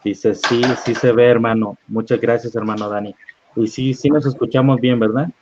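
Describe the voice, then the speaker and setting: A man leading a live-streamed Bible study, reading scripture aloud at an unhurried pace and often checking in with "¿verdad?". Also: medium pitch, steady tone, a little mellow, a little hiss or hum. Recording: dull lo-fi online stream audio.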